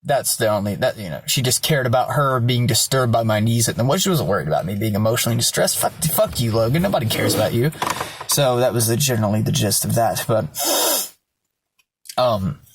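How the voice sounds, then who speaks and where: Hoarse young guy vlogging at home, loose and rambling, swears a lot. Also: mid-range voice, expressive, fairly quick, a little hiss or hum. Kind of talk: casual storytelling vlog. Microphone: self-filmed camera.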